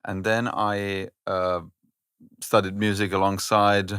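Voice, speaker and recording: A man in his thirties from Italy with an Italian accent, a podcast guest talking thoughtfully with many pauses and fillers. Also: low-pitched, little pitch movement, fairly bright tone, smooth, no echo, studio quality. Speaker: orchestra director, podcast guest. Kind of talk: conversational interview.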